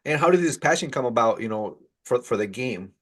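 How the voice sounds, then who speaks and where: A man's voice: mid-range voice, lively intonation, a little fast, fairly bright tone. A man interviewing a guest, asking casual, somewhat hesitant questions.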